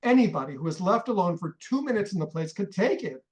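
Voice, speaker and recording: An actor playing an impatient older American millionaire who barks orders and scolds. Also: male voice, high voice, sing-song, a little mellow. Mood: scolding. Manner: barking, scolding, rapid-fire orders. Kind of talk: radio play acting.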